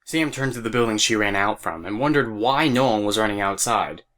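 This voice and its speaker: A man's voice: on the low side, a little fast, a bit bright. A man narrating a scripted story as the narrator of an audio drama. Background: music.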